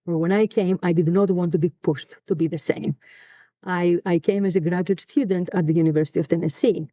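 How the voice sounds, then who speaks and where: A middle-aged woman from Greece with a Greek accent, talking earnestly and thoughtfully in a casual podcast chat, often pausing with "um.". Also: fairly low pitch, fairly even intonation, warm tone, dry recording, muffled recording. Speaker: co-host. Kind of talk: casual conversation.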